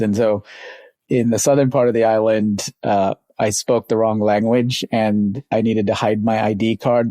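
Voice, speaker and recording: A man's voice: fairly low pitch, warm tone, no room sound. A middle-aged man from Sri Lanka, a company founder telling his life story in a reflective, rambling way as an interview guest. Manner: reflective, rambling, with little laughs.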